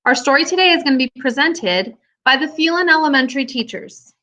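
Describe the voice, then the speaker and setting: A woman's voice: high voice, brisk, sharp tone. A woman teacher hosting and narrating a scripted storybook tale for children. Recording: dull lo-fi recording.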